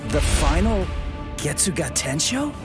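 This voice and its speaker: An actor playing an earnest, hot-headed teenage sword fighter in an anime game, speaking with intense emphasis. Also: male voice, a little high, big pitch swings, measured pace, loud music behind the voice. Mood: confused. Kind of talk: English anime game voice acting.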